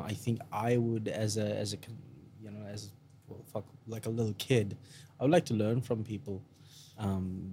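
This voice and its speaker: Podcast co-host, young man raised in India, American-sounding, low-ish voice, swears and laughs easily. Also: mellow, a little hiss or hum. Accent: American-sounding, picked up in India. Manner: swears freely, laughs often. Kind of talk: casual chat.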